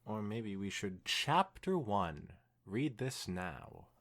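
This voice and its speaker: Man, fairly low voice, animated pitch, podcast co-host, story reading and banter, dry teasing. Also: slow pace.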